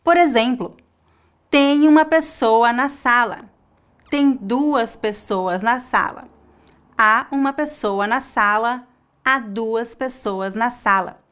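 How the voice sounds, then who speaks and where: Slow, clear Brazilian woman teacher. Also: on the high side, fairly bright tone. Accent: Brazilian Portuguese. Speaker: Portuguese teacher. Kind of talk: scripted online language lesson.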